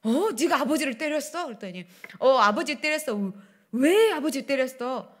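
Animated woman preaching a sermon. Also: high voice, normal speed. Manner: animated preaching, swings between loud and hushed. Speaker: pastor. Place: church sanctuary, standing at pulpit. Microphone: pulpit microphones.

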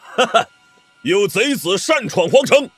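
Casting: brash, greedy guard captain, shouted commands, very high-pitched, swooping delivery, audio drama. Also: male voice, sing-song, measured pace, soft background music, full character voice. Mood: swaggering, commanding. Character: brawny, greedy male city-gate officer, swaggering and bloodthirsty. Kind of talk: martial-arts audio drama acting.

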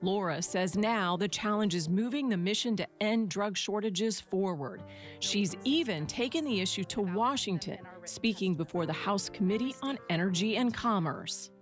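Female American reporter, mid-pitched, scripted human-interest narration over faint music. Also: expressive, normal speed. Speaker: news reporter. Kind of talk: scripted news feature narration. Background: music under narration.

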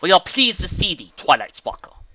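Man voicing a booming, imperious pony princess. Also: expressive, fairly quick, pushed and bright, no room sound, dull audio. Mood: regal, formal. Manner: formal, booming, archaic. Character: loud, imperious pony princess speaking archaic royal English.

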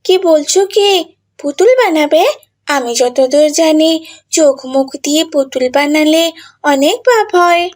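A woman voicing an excitable, bossy little girl in a children's cartoon, chatty and expressive. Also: high voice, measured pace. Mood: playful.

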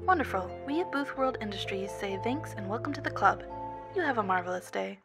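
A woman's voice: mid-range voice, lively intonation, a little fast, gravelly, over loud music. A woman playing a polite, unflappably cheerful telephone operator for a sinister company in a scripted horror audio story. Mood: polite, businesslike. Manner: scripted customer-service courtesy, unflappable.

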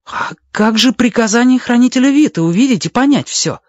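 Man doing an excitable, high-voiced boy. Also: fairly quick, no echo, muffled recording. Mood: worried. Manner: exclamatory, muttering to himself. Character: determined, excitable boy adventurer talking to himself. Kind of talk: dramatised children's audiobook.

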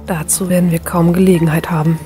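A man's voice: a little high, flat delivery, normal speed, a little mellow, faint music behind, pitched above the performer's own voice, lightly acted. Man acting out a short-winded, hurting young heroine. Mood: strained, worried. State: short of breath, in pain. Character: pained, short-winded young woman fighter.

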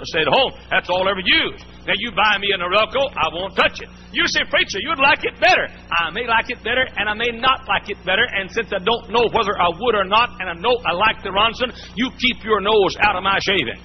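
A man's voice: high-pitched, brisk, few pauses. A man preaching a sermon in a church, folksy and emphatic, repeating his points. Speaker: pastor. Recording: muffled old sermon tape, faint hiss.

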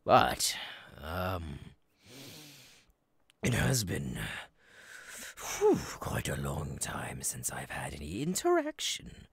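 A menacing, childlike circus clown monster for an ASMR audio roleplay. The voice is husky and creaking, drawn out extremely slowly. It lurches theatrically between breathy whispers and gleeful, cackling bursts. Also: male voice, extremely low pitch, huge pitch swings, fairly bright tone, no echo, clean studio sound, exaggerated voice. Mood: gleeful menace.

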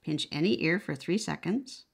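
Low-pitched woman giving a casual product demo. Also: moderate pace, creaky, husky. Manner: conversational, explains step by step. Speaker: product reviewer. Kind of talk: casual unboxing and demonstration.

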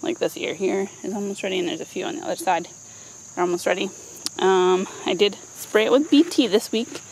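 A woman's voice: mid-range voice, brisk, a little mellow, poor audio. A woman in her thirties chatting casually and playfully as she gives a garden tour for a vlog. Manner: informal, playful asides. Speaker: vlogger giving a home garden tour. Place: outdoors in a backyard vegetable garden. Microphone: handheld camera microphone. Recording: outdoor handheld camera, noticeable ambient noise.

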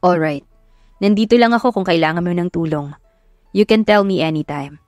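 Protective romantic male lead read by a woman, measured and reassuring, audio romance, dry close sound. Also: on the low side, a little mellow, no room sound, faint music behind, natural voice. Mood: calm, reassuring. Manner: reassuring, measured, code-switching. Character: calm, protective wealthy young man, romantic lead.

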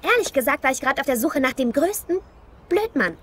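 A sassy young girl in a cartoon, high voice, really bouncy pitch. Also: very animated, brisk, a bit bright, a little hiss or hum. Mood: cheeky. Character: young girl, cheeky and outspoken. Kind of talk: animated film dub acting.

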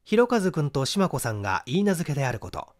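A male audiobook reader narrates a detective story in a slightly high voice. His delivery is animated, with pitch that moves up and down freely as he tells the tale in a scripted, literary style. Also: quick pace.

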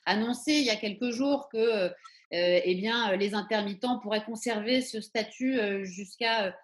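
A woman's voice: medium pitch, moderate pace. A woman in her thirties co-hosting a debate over video call, asking guests questions. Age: 30s. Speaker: co-host. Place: indoor room, video call.

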